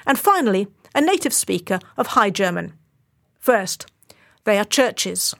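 A woman reporter narrating a scripted radio feature in a clear, explanatory way. Her voice sits at an everyday middle pitch, steady and composed. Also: measured pace.

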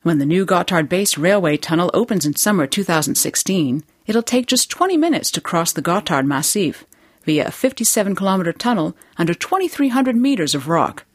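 A woman hosting a radio program in a studio, reading scripted narration in a measured presenting manner. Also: fairly low pitch, sing-song, no room sound. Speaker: program host.

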